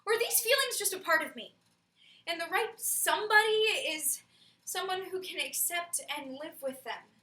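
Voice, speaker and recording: A woman acting a high-pitched, searching young woman. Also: animated, normal speed, pushed and bright, heavily acted. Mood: anguished, searching. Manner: searching, rhetorical, emphatic questioning. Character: troubled young woman agonizing over love and self-doubt. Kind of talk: theatrical dramatic comedy monologue. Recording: echoey room, far from mic.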